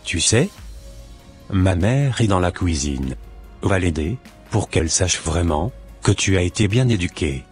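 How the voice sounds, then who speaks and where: An actor playing a polite, scheming young evangelist in a cartoon drama, speaking in measured, formal phrases. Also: male voice, sits low, expressive, faint music behind. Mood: pleased.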